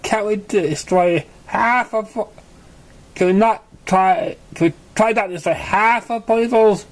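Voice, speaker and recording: A man voicing an earnest, exasperated young country filly from a cartoon story, in a folksy, fretful, halting way. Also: high voice, pitched above the performer's own voice.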